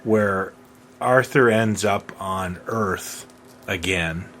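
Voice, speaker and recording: A man's voice: on the low side, extremely slow, fairly bright tone. Casual man chatting over faint background noise. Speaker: podcast co-host. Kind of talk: casual fan chat.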